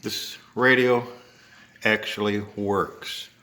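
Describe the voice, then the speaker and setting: An older man with an American accent giving a casual, halting show-and-tell narration in short phrases. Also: lowish voice, steady tone, pushed and bright. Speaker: home video maker showing an antique radio.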